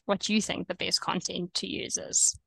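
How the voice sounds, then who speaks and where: A young woman with a South African accent, coaching online teachers over a video call in a relaxed, chatty way with frequent ums. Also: on the low side, normal speed, creaky, slightly rough, dry recording, low-quality recording. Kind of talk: webinar presentation.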